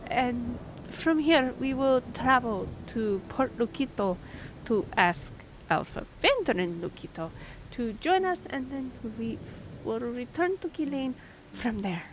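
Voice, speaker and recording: High-voiced, flustered half-elf liaison played by a woman. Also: big pitch swings, measured pace, slight background noise, poor audio. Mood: polite, businesslike. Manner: breathy sighs, stammering, pleading. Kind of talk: fantasy audio roleplay acting.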